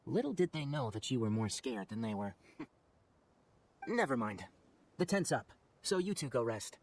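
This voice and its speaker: A man playing a knowledgeable young forest ranger in a video game, articulate, brisk and wry as he explains things. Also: medium pitch, sing-song, a little hiss or hum. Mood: amused, explanatory. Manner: articulate, explanatory, matter-of-fact. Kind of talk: video game character acting.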